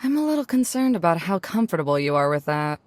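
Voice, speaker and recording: A woman's voice: fairly low pitch, sing-song, quick pace, a little mellow, no echo. A woman playing a dry, teasing, perceptive young woman in a video game cutscene, composed in manner. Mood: wry. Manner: wry, composed.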